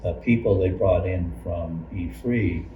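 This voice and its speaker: A man recalling church life in a casual group interview, haltingly rephrasing as he goes. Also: deep voice, narrow, tinny audio. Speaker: church member recalling past challenges. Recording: echoey room, distant mic, clear noise.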